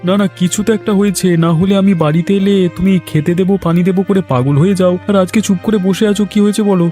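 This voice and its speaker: A man's voice: sits high, quick pace, dark tone, lightly acted. A man voicing a caring, determined young village son in a cartoon story, earnest and reassuring. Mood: concerned. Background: music.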